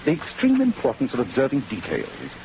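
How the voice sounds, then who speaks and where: Precise, animated English detective. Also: male voice, medium pitch, brisk, gravelly. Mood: reflective. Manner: precise, authoritative, theatrical. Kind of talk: 1940s radio acting. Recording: muffled, noisy 1940s radio recording.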